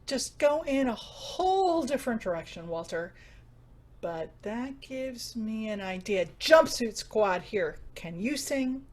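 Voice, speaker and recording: A woman playing an eager radio troupe organizer with an excitable, take-charge manner. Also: on the high side, takes it slowly. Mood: fussing. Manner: excitable, bossy, animated.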